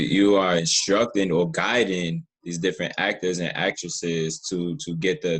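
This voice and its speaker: A man's voice: low-pitched, fairly bright tone, slightly rough. A man hosting a casual interview in an earnest, appreciative and affirming way. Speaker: interview podcast host.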